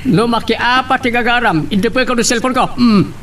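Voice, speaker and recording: A man's voice: very high-pitched, fairly quick, fairly bright tone. A man playing a scheming, threatening villain in an Ilocano romance audio drama, with noise behind him. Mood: menacing.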